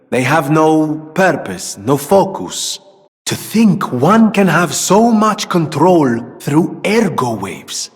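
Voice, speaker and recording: A male actor playing an eccentric, haunted gentleman inventor in a video game, speaking in a theatrical, eloquent and earnest way. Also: fairly high pitch, long reverb tail. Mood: thoughtful concern. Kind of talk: dramatic video game character dialogue.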